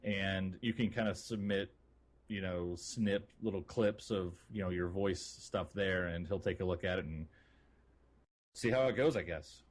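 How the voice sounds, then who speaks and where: A man co-hosting a gaming podcast, chatting in a loose, informal and halting way. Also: deep voice. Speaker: podcast co-host. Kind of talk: casual gaming podcast chat.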